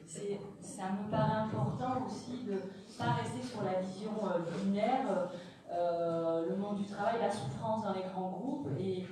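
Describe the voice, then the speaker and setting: Woman moderator asking slow halting questions, mic far off in a roomy echoey hall. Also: medium pitch, crowd noise. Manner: halting, many restarts. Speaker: round table moderator. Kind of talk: moderating a panel. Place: conference room.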